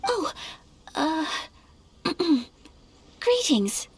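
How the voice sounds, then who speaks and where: A woman's voice: extremely high pitch, big pitch swings, extremely slow, slight background noise, full character voice. A woman voicing a polite, reserved young noblewoman in an anime game, courteous and composed. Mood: modest.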